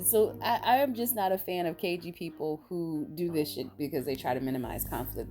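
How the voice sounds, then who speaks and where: A woman vlogger with a raspy voice, sounding tired, talking in a rambling, blunt and emphatic way. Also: deep voice. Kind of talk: casual opinion monologue.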